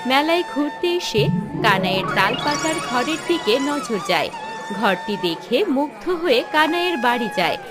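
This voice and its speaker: A woman narrator tells a folk tale in a slightly high, expressive voice. The delivery is scripted storytelling, with clear music playing behind her. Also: moderate pace.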